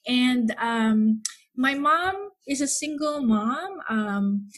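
A woman, talking thoughtfully as a podcast host with many pauses. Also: a little high. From Philippines. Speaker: psychotherapist. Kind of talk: casual podcast chat.

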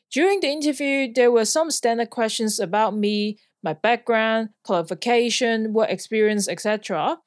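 A woman's voice: a little high, dry recording, studio quality. A woman in her thirties from Hong Kong, a podcast host telling a personal story in an earnest, plain way. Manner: earnest, plainly worded, occasional light laugh. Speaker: podcast host, flying instructor.

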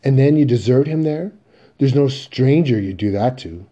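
A wounded, furious mother, acted out by a man in a stage drama, scolding her grown sons. The voice is husky, lifted above the reader's own and very mellow in tone, with emphatic commands. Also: medium pitch, normal speed, lo-fi sound, voice pushed up, close to an everyday voice. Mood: outraged.

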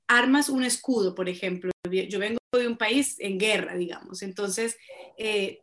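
A woman's voice: a little high, fairly bright tone. A Colombian woman, a singer-songwriter, talking reflectively as a radio guest and laughing often. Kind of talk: radio interview.

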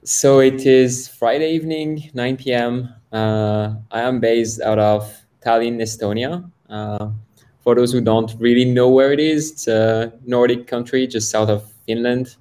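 A man's voice: medium pitch, steady tone, measured pace, dark tone. A man in his thirties from France, a guest on a video call, telling his story in a casual, hesitant way with many fillers and restarts. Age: 30s. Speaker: guest, software founder. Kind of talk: casual interview talk.

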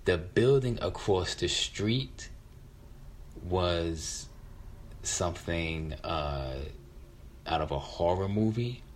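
Very deep-voiced American man telling a story. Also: lively intonation, slight background noise. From West Philadelphia, United States. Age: 50s. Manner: halting, reflective, repeats words. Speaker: featured storyteller. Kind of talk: personal storytelling, conversational.

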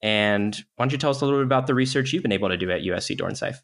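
Male podcast host, low voice, steady and explanatory. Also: very fast, vocal fry. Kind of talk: hosted university podcast talk.

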